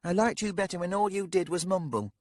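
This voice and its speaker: A man's voice: high voice, expressive, brisk, dry recording, pitched above the performer's own voice. A man voicing a young noblewoman who is tearful, insistent and defiant. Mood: teasing. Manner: pleading, sharp and insistent.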